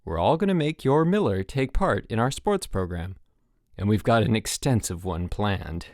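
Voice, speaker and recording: A narrator voicing a calm, determined man who heads a summer camp in a story reading, soothing and resolute. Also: mid-range voice, moderate pace, dry recording, studio quality, lightly acted. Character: calm, determined male head camp counselor, reassuring.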